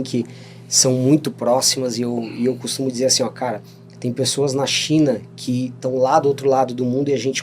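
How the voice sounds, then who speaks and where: A man's voice: mid-range voice, a little mellow. A Brazilian man in his thirties, a podcast guest telling stories in a rambling casual way, often adding "né?" and laughing along. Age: 30s. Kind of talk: casual storytelling interview.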